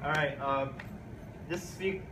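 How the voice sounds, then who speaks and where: A man's voice: fairly high pitch, slow, a little hiss or hum. A man making casual remarks at a live convention panel. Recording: distant mic, loud crowd behind.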